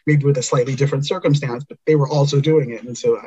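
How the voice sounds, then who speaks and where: A man's voice: medium pitch, steady tone, fast talker, a little mellow, husky, highs cut off. A man talking as a podcast guest in an earnest, reflective way, often restarting his sentences. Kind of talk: conversational interview.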